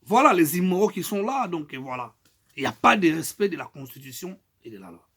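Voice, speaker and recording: Husky, high-voiced Congolese man speaking out. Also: very animated, a little fast. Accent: Congolese French. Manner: emphatic, rising and falling loudness, mixes in Lingala phrases. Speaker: political activist. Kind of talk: impassioned political statement.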